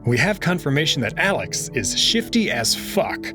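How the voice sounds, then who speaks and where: A man narrating and commenting on a visual novel in an expressive storytelling style. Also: on the high side, moderate pace. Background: music under the voice.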